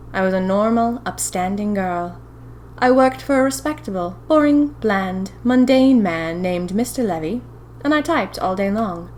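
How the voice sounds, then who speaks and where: A woman's voice: a little high, darkish tone, lightly acted. A woman playing a young 1920s typist who confides eerie happenings to her diary in a chatty, dramatic, wry way. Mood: wistful, wry. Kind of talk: first-person audio fiction diary narration.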